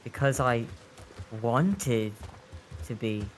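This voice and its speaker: A man's voice: medium pitch, animated, drawn-out words, a little hiss or hum. A man playing an earnest, questioning, self-doubting youth who leads street kids in an audio drama. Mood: troubled.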